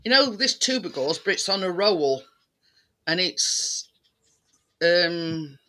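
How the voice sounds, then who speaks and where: Bloke, really sharp bright voice, bit high, rambly casual chat, keeps stalling for words. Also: male voice, animated. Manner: rambling, halting, searching for words.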